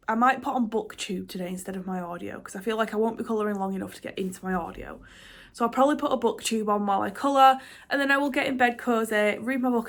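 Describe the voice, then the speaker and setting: A woman's voice: a little high, fairly bright tone. Chatty woman with a cold. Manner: rambling, chatty, self-deprecating, with many "um"s and restarts. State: congested with a cold. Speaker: book vlogger. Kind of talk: casual chatty vlog to camera.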